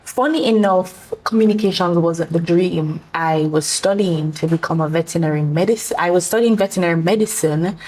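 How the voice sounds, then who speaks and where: Poised lady guest, lower voice, calm answers. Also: brisk, few pauses, low-quality recording. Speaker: interview guest. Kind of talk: interview answers.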